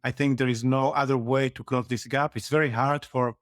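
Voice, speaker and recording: A man from Argentina, a guest on a podcast, explaining his points in a measured, step-by-step way. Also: medium pitch, steady tone, dry recording, clean studio sound. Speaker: interview guest, security executive. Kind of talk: casual interview chat.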